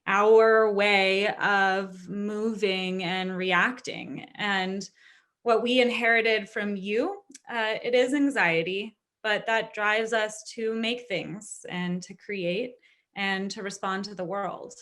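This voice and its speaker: Woman acting reassuring daughter, slow and halting. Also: mid-range voice, fairly even intonation, fairly bright tone. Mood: earnest, reflective. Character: reassuring adult daughter, a social worker, reasoning with father. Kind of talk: staged reading of a play.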